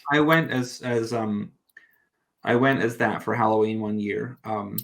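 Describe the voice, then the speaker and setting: A man's voice: on the low side, steady tone, unhurried. A man in his thirties from New Zealand, with an accent blending New Zealand and American, chatting as a guest with lots of self-deprecating restarts. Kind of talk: casual chat.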